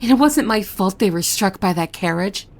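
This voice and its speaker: A woman's voice: medium pitch, lively intonation, normal speed, natural voice. A woman playing a possessive, doting demon maid in an ASMR roleplay, speaking formally and servilely with menace underneath, often slipping into a whisper. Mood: defensive, insistent.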